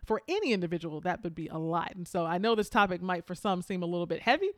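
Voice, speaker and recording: A woman's voice: lowish voice, lively intonation, fast talker, a bit bright, no room sound, clean studio sound. A woman hosting a podcast interview, talkative and upbeat, who laughs easily. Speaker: podcast host. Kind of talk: casual interview chat.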